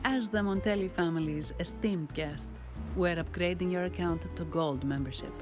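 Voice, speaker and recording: A lowish female voice from an actress plays a composed, businesslike bank staffer and bodyguard in a video game. Her delivery is formal and dry, with music clearly audible behind it. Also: moderate pace, close to an everyday voice. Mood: composed, professional. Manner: formal, composed, drily witty. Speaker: bank employee and guide. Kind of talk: scripted video game dialogue.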